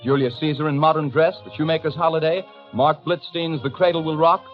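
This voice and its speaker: Old-timey radio announcer guy, formal and declamatory, highish voice, music behind, muffled broadcast sound. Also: steady tone, a little fast.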